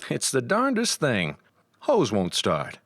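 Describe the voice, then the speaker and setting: An actor playing a mild 1950s suburban husband and father, with a stilted, wholesome, deliberate way of speaking. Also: medium pitch, huge pitch swings, noisy background, full character voice. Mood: folksy. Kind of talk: stylised retro family radio drama.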